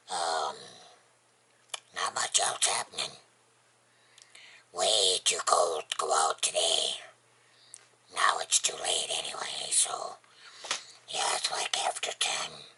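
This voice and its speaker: A vlogger with a husky, breathy, gravelly voice, chatting casually in a rambling, folksy, plainspoken and unhurried way. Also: low voice, fairly even intonation, sharp tone. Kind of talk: casual rambling chat to camera.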